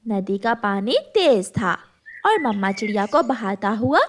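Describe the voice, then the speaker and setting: A woman's voice: medium pitch, animated, unhurried, slight background noise. A woman narrating a scripted children's story.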